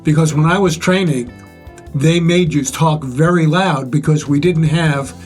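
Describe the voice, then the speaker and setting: An older man with a relatively loud voice, reflectively sharing life stories and advice in measured, pause-filled speech. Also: a little high, faint music behind. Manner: measured, pausing often with "uh". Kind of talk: reflective conversational storytelling.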